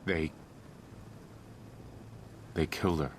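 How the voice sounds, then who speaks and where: A man's voice: extremely low pitch, fairly even intonation, slow, slight background noise. A male actor playing a shocked, grieving husband and father in a video game, serious and understated. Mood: grieving, urgent. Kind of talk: video game character acting.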